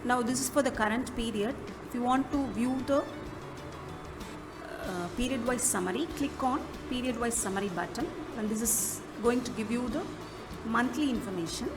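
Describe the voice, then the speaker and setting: A woman presents a software walkthrough in an instructional manner, with halting phrasing. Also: fairly high pitch. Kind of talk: step-by-step app walkthrough narration. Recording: music behind the voice. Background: clearly audible music.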